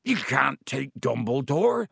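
A man voicing a big, loyal, hot-tempered groundskeeper in an audiobook, gruff and growling, with dropped consonants. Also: medium pitch, sing-song, slow pace, fairly bright tone, no echo, studio quality, exaggerated voice. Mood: angry.

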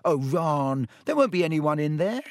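A man acts out a schoolgirl for a children's audiobook. The voice sits higher and comes across brisk, reasonable and a little bossy, coaxing others along. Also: very animated, no echo, studio quality.

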